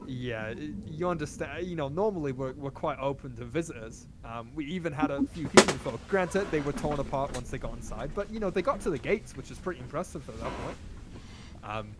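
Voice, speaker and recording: A man's voice: medium pitch, lively intonation, fairly quick, heavy background noise, natural voice. A man voicing a male city guard who rambles apologetically about cruel orders in a tabletop game. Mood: nonchalant.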